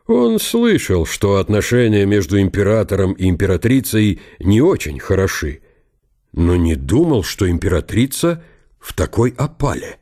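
A man's voice: lowish voice, huge pitch swings, moderate pace, a little mellow. A man narrating a historical radio drama in a dramatised literary style.

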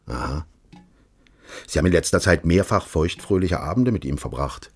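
Very deep, husky shadowy official. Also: male voice, animated. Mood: controlled, secretive. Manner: measured, formal, insinuating. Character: smooth-mannered, menacing, secretive official recruiting a detective. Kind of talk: radio crime drama acting.

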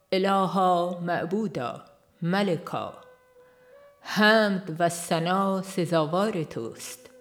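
A narrator reading a religious audiobook in a formal, measured and solemn manner. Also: medium pitch. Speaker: audiobook reader.

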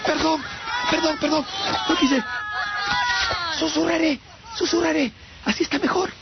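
A man's voice: extremely high pitch, steady tone, measured pace, over a noisy crowd. A man in a radio drama playing a frightened shipwrecked captive, pleading politely and stammering. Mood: apologetic pleading. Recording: dull radio drama audio, faint noise.

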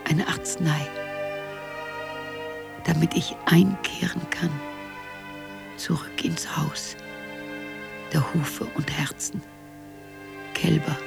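An actress in a German radio drama playing a grave, pleading woman who recites a solemn poetic monologue in halting phrases. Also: sits low, vocal fry, exaggerated voice. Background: sad violin music.

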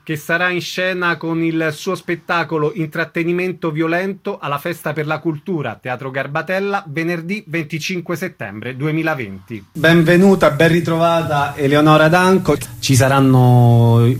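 A man's voice: a little high, moderate pace, hardly any pauses. A man hosting a radio show, putting questions to guests and announcing events.

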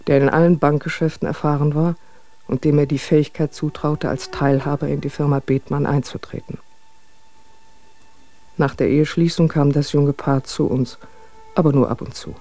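An actor playing a memoirist who mournfully recalls his family circle in a measured, formal radio drama monologue. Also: a little high, warm tone, close to an everyday voice.

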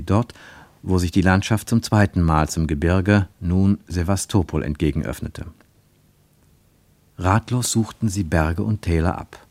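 A man reading a literary story as a scripted radio narration, with expressive, dramatic phrasing. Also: sits low, no echo.

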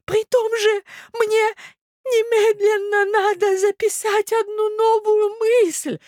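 A woman voicing a bewildered, genteel old prince in a literary audiobook, plaintive and childlike, with faltering, drawn-out speech. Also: extremely high pitch, level tone, a little mellow, no echo, clean studio sound.

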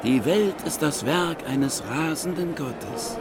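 Gnostic prophet, portentous, solemn, raised pitch, radio drama, heavy background noise. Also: male voice, takes it slowly. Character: solemn gnostic Valentin teaching of a mad creator god. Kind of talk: German radio drama acting. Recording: noisy outdoor-sounding crowd behind.

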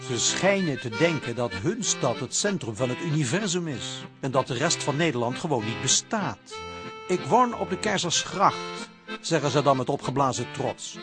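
An actor playing a wry provincial narrator who mocks city folk in scripted radio play narration. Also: male voice, mid-range voice, lively intonation, normal speed, natural voice. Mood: wry, mocking. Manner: wry, mocking. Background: accordion and guitar music.

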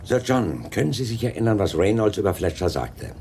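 An actor playing a brisk, sardonic police inspector in a German crime radio play, with an authoritative, matter-of-fact manner. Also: male voice, on the low side, very animated, darkish tone, slight background noise. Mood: dry.

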